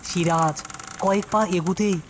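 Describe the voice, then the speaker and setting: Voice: mid-range voice, steady tone, measured pace, slight background noise. A narrator reading a scripted horror story in a storytelling style.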